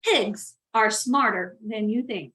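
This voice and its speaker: A woman voicing a spirited, bossy young farm girl in a storybook, with drawn-out, emphatic words. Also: a little high, bright, forceful tone. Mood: satisfied.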